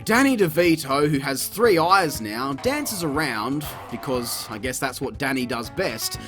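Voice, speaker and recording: A high-voiced man delivers a scripted comedy review with lively, swooping intonation. He swears freely and sounds sarcastic and exasperated, with music playing behind him. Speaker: host of a video review show.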